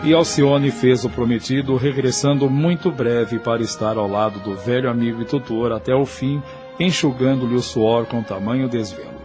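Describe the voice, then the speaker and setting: A male narrator with a Brazilian accent reads an audio novel. His mid-pitched voice is solemn and literary in its drama, with music faintly underneath. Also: fairly even intonation, moderate pace.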